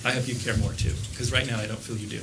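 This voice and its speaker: A man's voice: mid-range voice, flat delivery, quick pace. Earnest man at a county meeting. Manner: earnest, pointed and critical. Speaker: public commenter. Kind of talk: public comment at a meeting. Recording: noisy public meeting recording.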